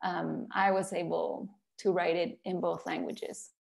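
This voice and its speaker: Creaky-voiced Mexican woman in an interview. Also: on the low side, flat delivery, measured pace, a little mellow. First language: Spanish. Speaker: interviewed author and illustrator. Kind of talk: conversational interview answers.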